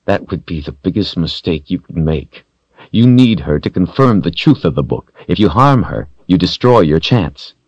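A man's voice: low voice, sing-song, moderate pace, darkish tone, breathy edge, no room sound, dull audio, heavily acted. A man voicing a defiant, stubborn captive hero in an audiobook, speaking bluntly and with tension. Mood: challenging. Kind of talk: audiobook character voice.

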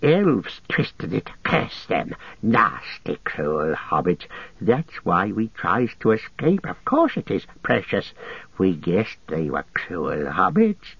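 Gravelly creature, husky, hissing, wretched, audiobook character voice. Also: male voice, wildly swooping pitch, no echo, lo-fi sound, heavily acted. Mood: whining. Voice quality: raspy, hissing, whining. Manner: pleading, pitch leaps, drawn-out words. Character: wretched hissing creature, small, cringing, greedy.

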